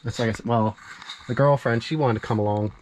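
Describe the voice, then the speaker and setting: A man's voice: medium pitch, fast talker, darkish tone, slight background noise, low-quality recording. A man in casual hobby chat, speaking in an enthusiastic, agreeable and rambling way as a visiting vlogger. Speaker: vlogger visiting a radio collector.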